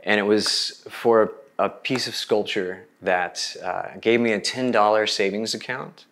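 A man's voice: fairly low pitch, steady tone, a little slow, a bit bright. A middle-aged man from Oklahoma with an American accent, telling his story as an artist in an interview. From Oklahoma, United States. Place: bright room indoors.

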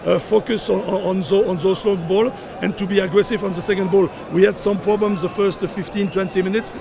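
An older man coaching football, speaking at a post-match press scrum outdoors, halting with many fillers and repeated words. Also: high-pitched, fairly even intonation, creaky, crowd noise. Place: outdoors at a sponsor backdrop, surrounded by reporters. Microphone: cluster of handheld reporter mics and phones.

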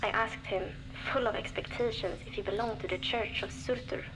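An actress playing a young woman survivor, reflective and determined, recording a measured audio diary for a video game. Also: mid-range voice, steady tone, noisy background, close to an everyday voice. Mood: calm, hopeful. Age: young adult.

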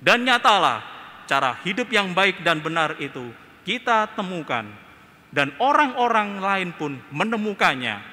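A young man with an Indonesian accent, preaching a homily from a church lectern mic, slow and emphatic with rising and falling phrases. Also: fairly high pitch, sharp tone. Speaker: priest. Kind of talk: homily at Mass. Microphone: gooseneck lectern mic. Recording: reverberant church.

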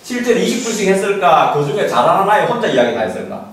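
A middle-aged man lectures informally in a very echoey classroom, picked up from a distance. His voice sits a little high and swings widely in pitch as he makes emphatic points and throws questions at his listeners. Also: fast talker. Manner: animated, emphatic, asks rhetorical questions. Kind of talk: informal lecture to parents on study methods. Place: small classroom. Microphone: handheld mic, recorded by a camera at a distance. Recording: echoey room, distant mic, background noise.

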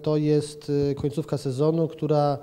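A man's voice: fairly high pitch, level tone, moderate pace, warm tone, smooth. A man in his forties answering questions as a football pundit in a TV interview, hesitant with many fillers and restarts, recorded indoors on a handheld reporter's mic. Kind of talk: TV sports interview. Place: indoor office room with plant. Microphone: handheld reporter mic held toward him.

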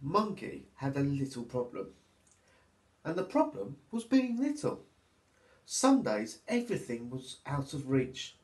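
A man in his thirties reads to young children like a teacher at story time. His delivery is unhurried and very expressive, with lively swings in pitch, recorded in a small echoey room. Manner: expressive, deliberate, addressing young listeners. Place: small plain room at home, indoors.

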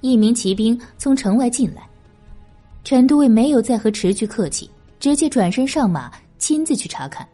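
A woman's voice: fairly high pitch, lively intonation, moderate pace, warm tone, soft background music. A woman narrating a historical novel as an audiobook in a dramatic, scripted style.

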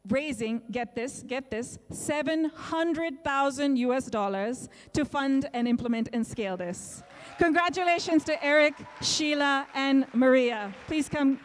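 A woman hosting an awards event, announcing winners in an upbeat way, calling for applause, and speaking into a handheld microphone on a stage. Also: sits high. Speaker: event host. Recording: reverberant event hall.

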